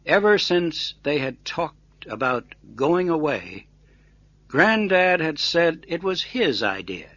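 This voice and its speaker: A man reading a scripted story for English learners in a deliberate, clearly enunciated way. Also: on the high side, very animated, bright, forceful tone, dry recording, highs cut off.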